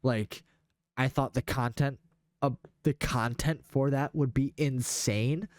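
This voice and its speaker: A man's voice: mid-range voice, steady tone, slow pace, darkish tone, dry recording, clean studio sound. A young man in casual gaming chat, speaking in a slangy, enthusiastic way. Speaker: podcast host.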